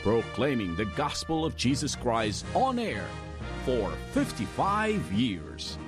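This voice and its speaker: A man giving a radio station identification in a slow, swooping announcer delivery. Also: mid-range voice, big pitch swings. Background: music bed.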